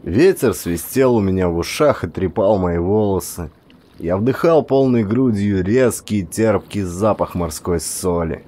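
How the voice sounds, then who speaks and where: A man reading a first-person story aloud as an audiobook narrator, measured and expressive. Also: deep voice.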